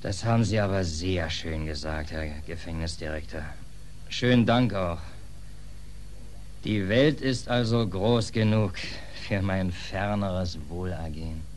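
An actor playing a wry, hapless stateless drifter in a 1940s German radio play, deadpan and mock-polite. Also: male voice, sits low, a little slow. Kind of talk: 1940s German radio play acting and first-person narration. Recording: slightly noisy 1940s radio recording.

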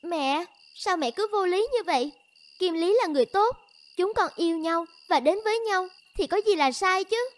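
A woman's voice: very high-pitched, lively intonation, moderate pace. A woman voicing a devoted, stubborn young village woman in love in a cartoon, earnest and pleading. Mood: pleading, defiant. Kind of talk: cartoon voice acting.

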